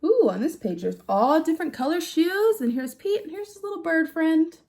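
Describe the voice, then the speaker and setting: A woman with a high voice reads a children's picture book aloud. Her pitch swoops widely as she exclaims and puts simple questions to her young listeners. The delivery is animated and sing-song, made for storytime. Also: normal speed.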